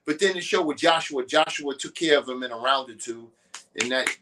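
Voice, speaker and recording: A man's voice: mid-range voice, fairly quick, noisy background. A man as a guest on a boxing talk show, giving loose and opinionated casual talk.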